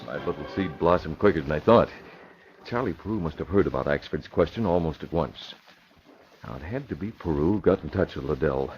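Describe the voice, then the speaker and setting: An actor playing a shrewd, self-assured newspaper publisher in an old radio crime drama, speaking in articulate, formal phrases. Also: male voice, rumbling low, animated, a little fast. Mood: pleased, reasoning. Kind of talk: 1940s radio crime acting. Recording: dull, faintly noisy vintage radio recording.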